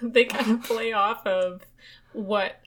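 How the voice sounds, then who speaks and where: A young woman hosting a podcast, telling ghost stories in casual chat, swearing freely and chasing quick asides. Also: medium pitch, steady tone, fairly bright tone.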